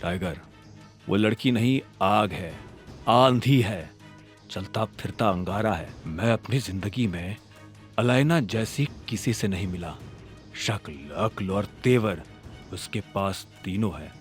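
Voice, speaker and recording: Man voicing a low, grave gangster. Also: slow pace, soft background music. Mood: awed, intense. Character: tough, low-voiced male gang member, grave and stubborn.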